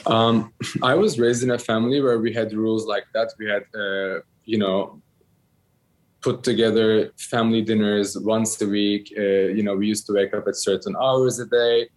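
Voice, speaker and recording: Man, 30s, from Turkey, low voice, hesitant interview guest, lots of ums and self-corrections. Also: little pitch movement, moderate pace. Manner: hesitant, many ums and restarts. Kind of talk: casual interview answers.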